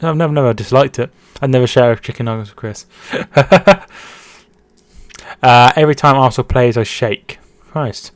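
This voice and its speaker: A man's voice: medium pitch, normal speed, no echo, studio quality. Chatty man hosting a watch-along. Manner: chatty, repeats words and restarts while reading chat. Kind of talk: casual live-stream chat with commentary.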